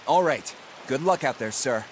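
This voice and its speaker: A man playing an eager, loyal young pirate sailor in a video game, earnest and excitable. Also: medium pitch, normal speed, slight background noise, natural voice. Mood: dutiful concern.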